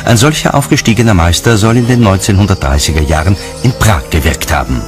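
Deep male narrator reading a documentary script, music under it. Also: lively intonation, fast.

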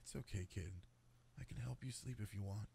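A man playing an affectionate cat-boy boyfriend in an intimate sleep-aid roleplay, speaking softly and coaxingly. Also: on the low side, brisk, creaky, slight background noise. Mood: soothing, sleepy. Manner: soft, tender, coaxing, intimate. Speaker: roleplay voice actor.

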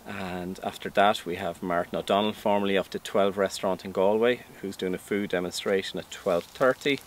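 A man in his fifties from Ireland talks in a fairly low voice, plain and matter-of-fact as he runs through details. He stands in an open vegetable field. A faint outdoor hum with distant traffic sits under his voice. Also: fairly even intonation, brisk. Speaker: organic farmer. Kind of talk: outdoor interview. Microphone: camera mic, standing interview.

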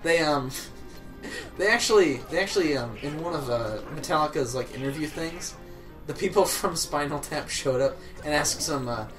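A young man with a slightly high voice chats as he plays a video game. He rambles casually and jokes along with his co-host. Game music plays behind him. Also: animated, moderate pace, a bit bright, rough recording. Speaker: let's play co-host playing the game. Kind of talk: casual let's play banter.

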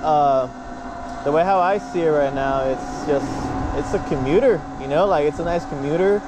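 A man's voice: a little high, normal speed. A man chatting casually to viewers in a laid-back way while riding a bike outdoors, as a vlogger. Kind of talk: casual ride-along vlog chat. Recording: loud wind and road noise.

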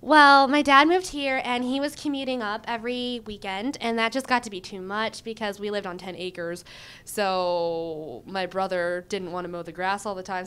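A young woman from Michigan answering interview questions in a chatty, animated way with lots of ums and likes, into a handheld mic in an indoor room. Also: a little high, clear, resonant tone. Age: young adult. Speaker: job candidate. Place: indoor room before a banner. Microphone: handheld mic held by the interviewer.

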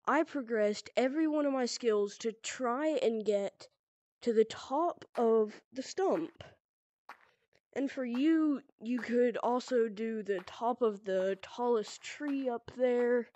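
Slow, stuffy-nosed young gamer girl. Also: a little high, a little mellow. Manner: loose and rambling, heavy on "pretty much" and "like". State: stuffy from a cold. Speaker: VR gaming tutorial creator. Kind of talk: casual game tutorial narration.

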